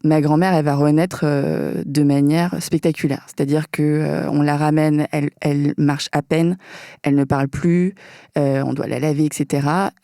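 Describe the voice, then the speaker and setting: A woman with a warm, mellow voice talks as a guest in a relaxed podcast conversation. She gropes for words and pauses often with fillers. The sound is dry and close-miked. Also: deep voice, dry recording. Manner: hesitant, searching for words with frequent fillers. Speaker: guest filmmaker. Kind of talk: casual conversation.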